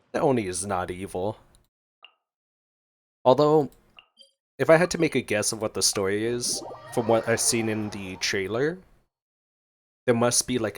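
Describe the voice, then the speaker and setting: Playful man doing casual game commentary. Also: lowish voice. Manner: playful, reads along and talks back to characters. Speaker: gaming streamer. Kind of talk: casual reaction commentary over gameplay.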